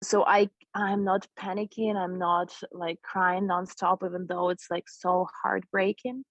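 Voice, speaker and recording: A young woman, her Russian, speaks in an earnest, searching way, pausing to find her words. Her voice sits on the low side and sounds dry and close. It comes through as dull, lo-fi video call audio. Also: level tone, no room sound. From eastern Ukraine. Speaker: interview guest. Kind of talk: reflective personal account.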